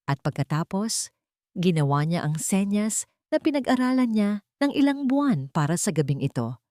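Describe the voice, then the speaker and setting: A woman's voice: mid-range voice, very animated, normal speed, dark tone, no room sound, studio quality. A woman reading a scripted romantic story as a dramatic narrator.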